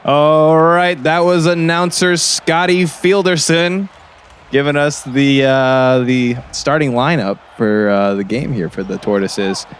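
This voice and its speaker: A man's voice: fairly high pitch, slow, smooth, some chatter behind. A man playing a folksy, easygoing small-town radio colour commentator, chatty and hesitant in a comedy broadcast. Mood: folksy, pleased. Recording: faint gym noise behind. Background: faint gym noise.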